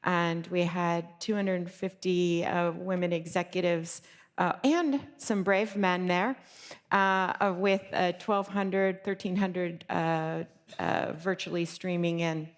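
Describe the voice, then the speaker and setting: A woman panelist speaking in a large conference hall, and her voice carries a long reverb. She sounds fairly low-pitched and chatty, leaning on fillers as she rattles through lists. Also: fairly even intonation. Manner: chatty, many fillers, lists things quickly. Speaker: panelist, business executive. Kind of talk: panel discussion.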